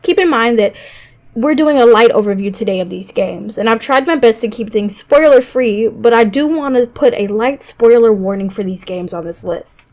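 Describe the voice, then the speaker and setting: A woman's voice: on the high side, normal speed, a little mellow. A woman hosting a scripted video game list, narrating in a conversational way with casual asides. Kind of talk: scripted video narration, top-five review. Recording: music behind the voice. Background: background music.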